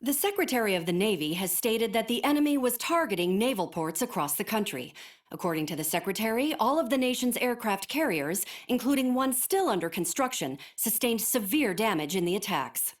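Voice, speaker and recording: An actress playing a composed female news anchor delivering breaking news in a crisp, formal way. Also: fairly high pitch, animated, brisk, close to an everyday voice. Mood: urgent.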